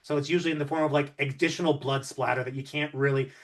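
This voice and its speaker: A man's voice: mid-range voice, fairly even intonation, fast. A man co-hosting an interview, chatting casually and musing at length, often circling back to rephrase. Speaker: interviewer, co-host. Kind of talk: casual discursive conversation.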